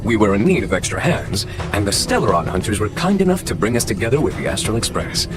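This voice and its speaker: A languid male general with a low voice that moves freely in pitch. He is courteous, formal and quietly amused, a composed game commander speaking over music. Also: big pitch swings. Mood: calm, amused. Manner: unhurried, courteous, wry, formal. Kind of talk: scripted video game voice acting.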